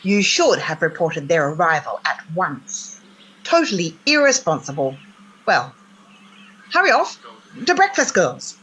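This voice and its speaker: A woman's voice: lowish voice, big pitch swings, unhurried, fairly bright tone, slight background noise, poor audio. A woman playing a stern, haughty, old-fashioned girls' school mistress in a radio play, scolding in a clipped, imperious manner. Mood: irritated, scolding. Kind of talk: theatrical radio play acting.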